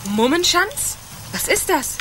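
A female performer playing a playful, curious, excitable medieval child in a German children's radio play. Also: extremely high pitch, slow, heavily acted, poor audio. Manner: excitable, questioning. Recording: clear background ambience noise.

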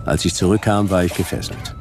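A man's voice: low-pitched, steady tone, fairly quick, over music. A man playing a wry, hard-boiled detective who narrates in terse, ironic sentences in a sci-fi radio drama.

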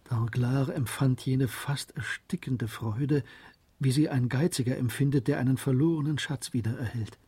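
Bright-toned mellow male narrator, medium pitch. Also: moderate pace, husky, no room sound. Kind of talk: literary radio drama narration, scripted.

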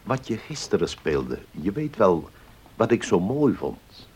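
An actor playing a genial, reserved older uncle in a Dutch radio play. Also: male voice, lowish voice, big pitch swings, measured pace, a little mellow, husky, heavily acted. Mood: mild, evasive.

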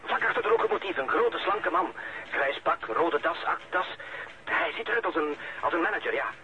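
Anxious impatient caller, hesitant, swooping pitch, lo-fi radio play sound. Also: male voice, very animated, moderate pace. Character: anxious, impatient male burglary victim reporting to police. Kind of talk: radio drama acting. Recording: noisy lo-fi old radio play recording.

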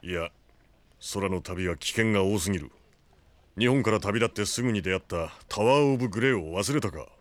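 Sober, careful fellow traveller, male actor: slightly low-voiced, firm audio drama delivery. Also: brisk, lightly acted. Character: serious, cautious male travelling companion. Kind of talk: Japanese audio drama acting.

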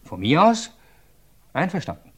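An actor playing a lawyer who reasons aloud in a measured, deliberate way in an old radio play. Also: male voice, fairly high pitch, wildly swooping pitch, fairly bright tone, husky, full character voice. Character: thoughtful middle-aged male lawyer sleuth, measured.

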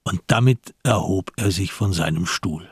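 Male narrator, very low, strongly husky and rough, measured, suspenseful, scripted radio crime story. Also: no room sound, clean studio sound.

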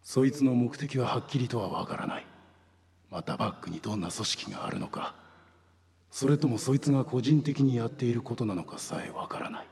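An actor playing a grave older police section chief in a radio drama, gravelly and breathy, confiding in deliberate phrases. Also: male voice, medium pitch, a little mellow. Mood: grave, secretive. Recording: long hall reverb.

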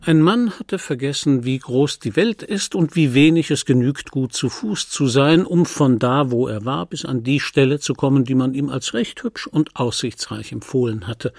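A man reading as a traveling storyteller who calmly recounts grotesque adventures in a literary radio feature, with a gravelly, husky voice. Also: mid-range voice, moderate pace, hardly any pauses. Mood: wry. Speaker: literary reader.

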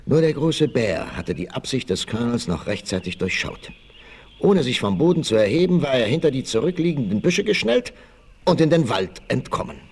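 A man with a mid-pitched voice narrates an adventure story. His intonation is very animated, building suspense in the dramatic style of a 1960s audio drama. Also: normal speed, slightly rough.

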